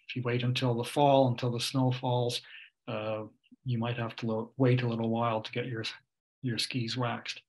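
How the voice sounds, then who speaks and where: A man's voice: mid-range voice, rough recording. An older man with a Canadian accent, a ski instructor presenting a webinar from home over a video call, explaining steadily with many fillers. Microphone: webcam or computer mic on a video call.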